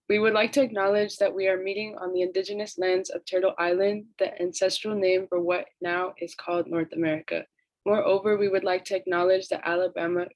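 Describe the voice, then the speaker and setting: A woman's voice: on the low side, a little mellow, no echo. A woman on a video call reading a land acknowledgement in a careful, earnest way. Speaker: outreach program staff. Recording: dull dry video-call audio.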